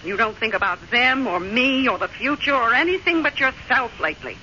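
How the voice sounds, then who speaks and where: An actress playing a prim, stubborn American wife in an old-time radio drama, clipped, chiding and quick to take offence. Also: female voice, on the high side, expressive, pushed and bright. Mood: indignant. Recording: muffled, noisy old radio recording.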